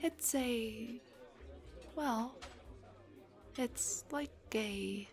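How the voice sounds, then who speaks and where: A young woman voicing an embarrassed, self-deprecating headless dullahan on a date, in an intimate roleplay, breathy and hesitant, often slipping towards a whisper. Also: fairly high pitch, very slow, faint music behind. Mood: relaxed, chatty. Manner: hesitant, stammering, joking. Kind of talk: intimate audio roleplay acting.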